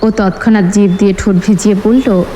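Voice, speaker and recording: A woman's voice: mid-range voice, fairly even intonation, a little fast, dark tone, a little hiss or hum. A woman reading a romance novel as scripted, dramatic narration. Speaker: audio story narrator. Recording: long hall-like reverb.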